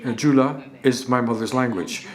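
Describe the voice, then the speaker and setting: A man giving a live interpretation of a lecture in a halting delivery, often restarting and repeating words as he goes. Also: medium pitch, expressive.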